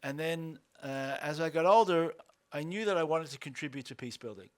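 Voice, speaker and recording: A man in his fifties with an Australian accent, a co-founder speaking at a lunch talk, halting with many fillers and restarts. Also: a little high. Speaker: organization co-founder.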